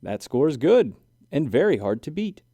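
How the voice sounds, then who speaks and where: A man's voice: on the high side, big pitch swings, moderate pace, warm tone, dry recording. A man playing a male animal judge in a storybook, laying out rules in an official, instructive manner.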